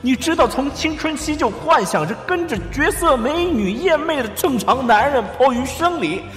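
An actor playing a hot-tempered, anguished young prince in a Chinese costume audio drama, crude and theatrical. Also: male voice, very high-pitched, expressive, normal speed, fairly bright tone, long reverb tail. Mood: furious, anguished. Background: background music.

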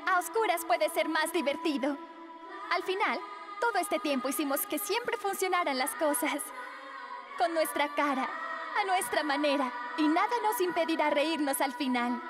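A woman's voice: sits high, normal speed, natural voice. A woman voicing an upbeat, good-humored teenage girl. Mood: cheerful. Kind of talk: Spanish-language cartoon dubbing. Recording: music behind the voice.

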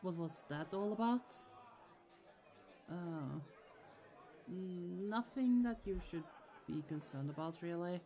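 A woman's voice: fairly low pitch, expressive, slow pace, long pauses, mellow, a faint crowd. A woman playing a protective, easily annoyed sorceress sister in a fantasy audio roleplay, chatty, affectionate and firm. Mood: reassuring, confiding. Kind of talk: ASMR roleplay acting. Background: music.

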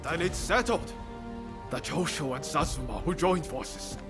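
An actor playing a bold, easygoing samurai reformer in a game cutscene, earnest and persuasive in an informal way, with music behind him. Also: male voice, on the high side, lively intonation, unhurried. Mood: upbeat, confident. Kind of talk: video game cutscene acting. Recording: background music under dialogue.

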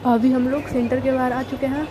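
A woman's voice: high-pitched, level tone, a little fast. A young woman from Bihar, India, talking casually to viewers as a travel vlogger. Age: young adult. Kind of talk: casual vlog narration to viewers. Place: mostly outdoors in a city. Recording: loud outdoor street and traffic noise.

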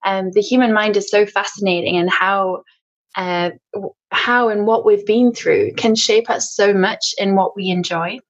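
A woman's voice: medium pitch, steady tone, measured pace. A woman in her thirties from South Africa, chatting as a podcast guest with lots of fillers and restarts. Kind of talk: casual interview chat. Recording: slightly dull lo-fi sound.

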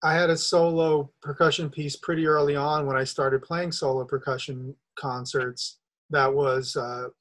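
A middle-aged man hosting a podcast over a video call, explaining his ideas in a measured, thoughtful way. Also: fairly high pitch, flat delivery, a bit bright. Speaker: podcast host. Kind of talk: interview conversation. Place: home studio room, video call. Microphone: video call webcam.

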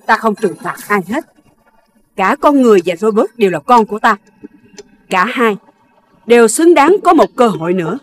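A woman voicing a solemn, forgiving mother of nature in a children's cartoon, speaking in measured proclamations. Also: fairly high pitch, lively intonation, lightly acted. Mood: solemn, benevolent. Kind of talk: animated children's cartoon dubbing.

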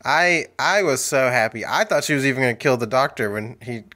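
A man's voice: medium pitch, lively intonation, bright, forceful tone. A man in his thirties co-hosting a casual movie-review podcast from home on a studio mic, laughing often and acting out quoted voices. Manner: giggly, quick to laugh, acts out quoted voices. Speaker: podcast co-host. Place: home room with bookshelves. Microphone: studio mic with headphones, video call.

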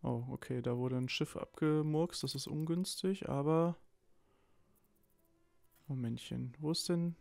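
Slightly sick, gravelly man gaming. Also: fairly high pitch, a little slow, long pauses, a little mellow, no echo. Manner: thinks aloud, hesitant restarts. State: slightly ill, a bit hoarse. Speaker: let's play co-host. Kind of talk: casual gameplay chat.

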